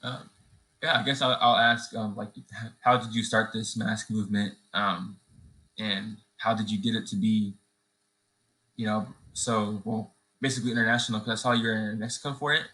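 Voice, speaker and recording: A late-teen man, a high school student, asking questions in a hesitant, halting way during a casual interview. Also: flat delivery, sharp tone, low-quality recording. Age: late teens.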